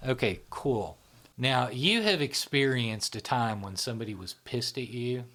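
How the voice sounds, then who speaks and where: A man's voice: mid-range voice, expressive, unhurried, a bit bright. Middle-aged man who keeps saying "right?". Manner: rambling, fills with "right?" and "you know". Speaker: show founder and host. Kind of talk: casual explanatory conversation.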